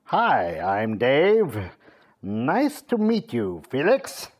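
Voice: medium pitch, wildly swooping pitch, extremely slow, a little mellow, pitched below the performer's own voice, heavily acted. A woman voicing a friendly, dopey, nervous male fox underling in a children's story. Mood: friendly, sheepish. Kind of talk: children's animal story character voice.

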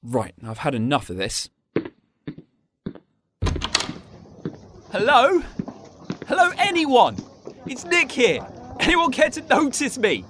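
Anxious young man acting a student, swinging pitch. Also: huge pitch swings, unhurried, exaggerated voice. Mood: desperate. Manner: exclamatory, agitated. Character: anxious young male exchange student, easily rattled. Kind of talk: radio drama acting. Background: music.

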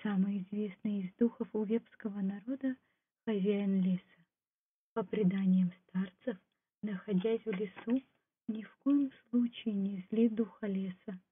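A woman with a husky voice giving a scripted storytelling narration. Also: fairly even intonation, a little slow, a little hiss or hum. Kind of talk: scripted folk tale and documentary narration. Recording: muffled, low-fidelity phone-like sound.

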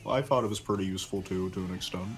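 A man's voice: low-pitched, rapid-fire, faint music behind. A man voicing a level-headed cartoon ninja chameleon, dry and placating, hesitating as he banters off the cuff. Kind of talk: improvised in-character banter.

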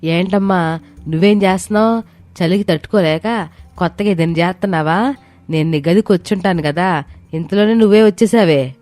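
A woman's voice: on the low side, moderate pace, long pauses, darkish tone, no echo, natural voice. A woman voicing an irritable elderly mother-in-law in a family story, nagging and sarcastic. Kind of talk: dramatized moral story voice acting. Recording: music behind the voice.

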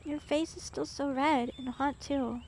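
A woman voicing a possessive, obsessive young girlfriend in an ASMR roleplay, speaking sweetly and intimately with soft whispers and a creepy edge under the doting. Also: high-pitched, steady tone, measured pace, slight background noise. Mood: caring. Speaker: ASMR voice actress.

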